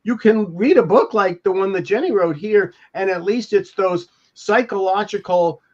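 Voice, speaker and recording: An older man with a notably high voice talks casually as a panelist. He speaks haltingly and doubles back on words. The call audio is a little dull and lo-fi. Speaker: podcast panelist. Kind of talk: casual discussion. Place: room at home, indoors.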